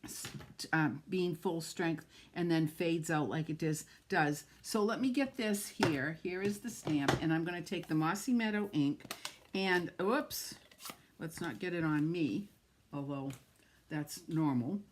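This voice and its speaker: A woman's voice: low-pitched, a little slow, a little hiss or hum. A middle-aged woman with a New England accent, chatting and gushing as she demonstrates card making on a live stream and greets viewers by name.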